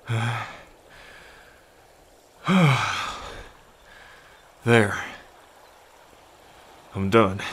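A man playing a gentle, anxious lone fisherman in an ASMR roleplay, with a halting, reassuring manner. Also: medium pitch, breathy edge. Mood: gentle, focused.

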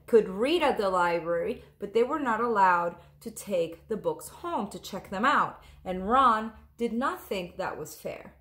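A woman in her thirties reading a picture book aloud, expressive, deliberate and dramatic, like a teacher. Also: mid-range voice. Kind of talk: expressive storybook read-aloud. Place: small room at home. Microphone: camera or phone at close range.

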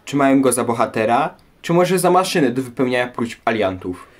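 Male host, average pitch, scripted presenting and questioning for history audio. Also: animated, brisk.

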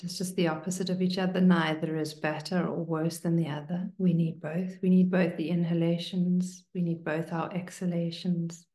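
A woman meditation teacher leading a guided meditation in a hushed, soothing manner, leaving long pauses between short phrases. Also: fairly low pitch, little pitch movement. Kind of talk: guided meditation, slow and spoken to listeners. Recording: roomy, echoing sound.

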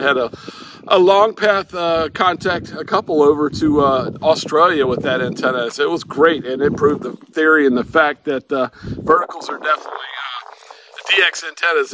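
An older man talking casually and explaining things to camera as a hobby radio vlogger, with a halting, rambling delivery that repeats words. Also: a little high, fairly bright tone, a little hiss or hum, low-quality recording. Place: outdoors in a grassy backyard.

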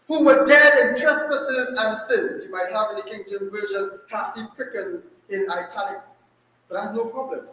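A middle-aged Trinidadian man preaching with a strikingly high voice. He presses his points with repeated phrases and questions to the congregation. His voice rings through a small, very echoey church room. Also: fairly bright tone. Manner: emphatic, rhetorical questions and repetition. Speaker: resident preacher. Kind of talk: sermon. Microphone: stand mic at a lectern.

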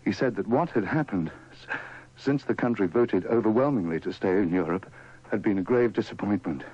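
Low, husky British male newsreader. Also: fairly quick, darkish tone. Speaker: radio newsreader. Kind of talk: formal news reading. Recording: dull, dry old radio broadcast.

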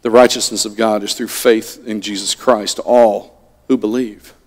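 A middle-aged man preaching a sermon from a pulpit, swinging between loud animated lines and hushed ones. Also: on the low side, a little slow. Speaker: pastor. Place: church sanctuary at a pulpit. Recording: long reverb of a church hall.

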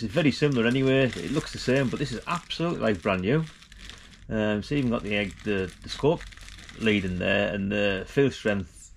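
A man's voice: lowish voice, gravelly. A middle-aged man, chatting casually and informally as he hosts a vlog. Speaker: vlog host.

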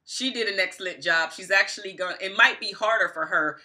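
A woman's voice: mid-range voice, a little fast, pushed and bright. A middle-aged woman giving a casual review to camera, stressing her points emphatically and repeating phrases. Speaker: book reviewer, vlogger. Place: small indoor room at home.

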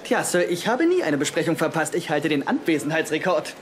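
An actor playing an insecure, competitive young intern, boastful and easily flustered, in a dubbed TV comedy. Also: male voice, on the high side, animated, brisk, noisy background, lightly acted. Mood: smug. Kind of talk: German-dubbed TV comedy acting.

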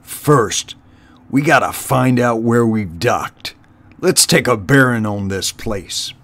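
A man's voice: medium pitch, animated, takes it slowly, fairly bright tone. A man voicing a brutal, contemptuous one-eyed rat warlord from a storybook, bellowing and snarling his commands. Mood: barking orders. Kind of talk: storybook character voice.

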